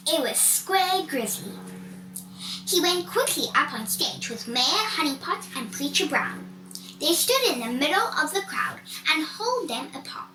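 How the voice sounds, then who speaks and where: High, animated woman reading a children's story. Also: measured pace. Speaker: story reader. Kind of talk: scripted children's storybook narration. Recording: roomy, distant mic, faint noise.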